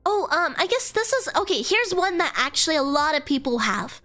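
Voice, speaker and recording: A woman's voice: sits high, big pitch swings, fairly quick, bright, forceful tone, faint music behind. A young woman streamer with a nasal voice and a stuffy cold, rambling casually, swearing freely and trailing into fillers. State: congested from a cold.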